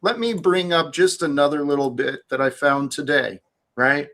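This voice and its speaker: A man hosting a casual group chat, calmly pushing back and correcting the others. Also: a little high. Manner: calm, corrects others in a measured way. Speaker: host.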